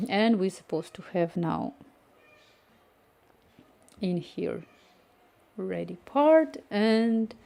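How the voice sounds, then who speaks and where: Softly mellow woman coding live. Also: medium pitch, lively intonation, very slow, vocal fry, long pauses. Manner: thinking aloud, reading chat, many restarts. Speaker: coding streamer. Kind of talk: live-stream coding chat.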